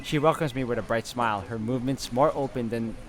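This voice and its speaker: A man's voice: medium pitch, fast talker, music in the background. A man hosting a game playthrough, reading visual novel narration aloud.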